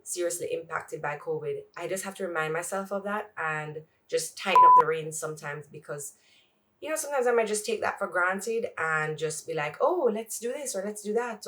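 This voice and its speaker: A woman's voice: low-pitched, fairly bright tone. A woman chatting casually in a home closet vlog while doing her makeup, sniffly and congested, rambling with joking asides. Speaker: vlogger. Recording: voice from far off the mic.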